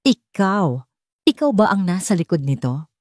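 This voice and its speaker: A woman's voice: lowish voice, big pitch swings, unhurried, darkish tone, dry recording. An earnest, proud young librarian from a romance audiobook, played by a woman narrator. She speaks with a Filipino accent and an emotional delivery, in a very dry recording. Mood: doubtful.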